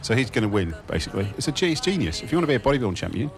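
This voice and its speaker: A man's voice: medium pitch, very animated, racing, faint music behind. A man, co-hosting a radio show in casual banter.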